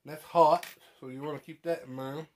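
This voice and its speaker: A man's voice: on the high side, steady tone, moderate pace. A man in his thirties giving a casual, folksy how-to, muttering to himself as he works indoors, a short distance from the camera. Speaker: DIY host. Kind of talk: casual how-to talk while working. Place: cluttered room indoors at home. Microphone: camera a short distance away. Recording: noisy room with clicking tool sounds.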